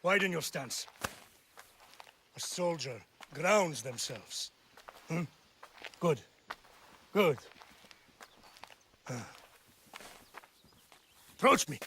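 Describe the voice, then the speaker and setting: An actor playing a stern middle-aged Spartan father and soldier, giving measured, commanding orders. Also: a little high, animated, pushed and bright, a little hiss or hum, full character voice. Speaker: military trainer.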